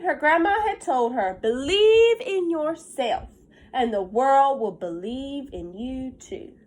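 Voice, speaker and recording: A woman with a fairly high voice speaks as an elementary teacher to young children. Her pace is unhurried, and she sounds slightly stuffed up. She reads aloud and explains in simple words, often checking that the listeners follow. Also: low-quality recording. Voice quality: congested from pollen.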